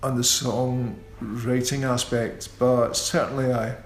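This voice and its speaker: Middle-aged man, slow and unhurried, wry, roomy echo. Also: medium pitch, level tone. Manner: unhurried, self-deprecating, wry. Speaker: guitarist guest. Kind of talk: radio interview.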